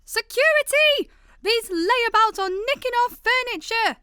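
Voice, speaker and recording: A woman playing an indignant lady journalist in a comedic sci-fi radio play, commanding and loud. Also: very high-pitched, animated, measured pace, a little hiss or hum, full character voice. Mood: indignant, threatening. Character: shrill, indignant lady journalist chasing thieves.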